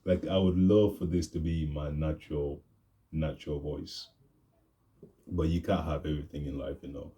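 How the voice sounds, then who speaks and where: A young man talks to camera in a loose, rambling way. His voice sits very low and sounds dark and mellow, pushed deep on purpose. The delivery stays laid-back and unhurried. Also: steady tone. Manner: deliberately deepened, laid-back voice. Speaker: vlogger. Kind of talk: rambling casual monologue.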